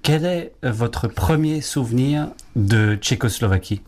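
A man's voice: medium pitch, expressive, slow, a little mellow. A man interviewing a guest, asking brief and inquisitive questions.

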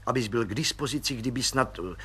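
A man's voice: mid-range voice, bright, forceful tone, breathy edge. A man voicing a dry, businesslike lieutenant colonel who gives orders in a curt, matter-of-fact way.

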